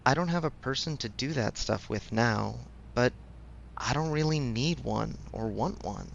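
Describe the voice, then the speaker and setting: Supportive male best friend played by a man, conversational audio roleplay, frank and gently teasing, creaky mid-pitched voice, faintly noisy recording. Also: lively intonation, measured pace, highs cut off. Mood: candid, reflective.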